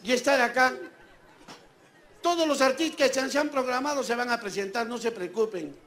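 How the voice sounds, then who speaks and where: High-pitched Peruvian man doing stand-up. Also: brisk, a bit bright. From Peru. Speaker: stand-up comedian. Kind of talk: stand-up comedy with audience banter. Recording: live show with crowd and background noise. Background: audience ambience.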